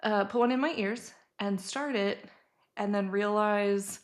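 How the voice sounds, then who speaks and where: A woman's voice: mid-range voice, unhurried. A woman co-hosting a podcast in a casual, playful, informal chat. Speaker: podcast co-host.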